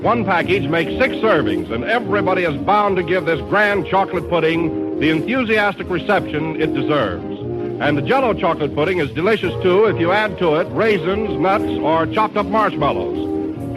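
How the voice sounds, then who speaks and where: A man's voice: high voice, animated, normal speed, low-quality recording. An American man reading a scripted commercial as an announcer in 1930s radio style. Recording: old radio broadcast with loud music.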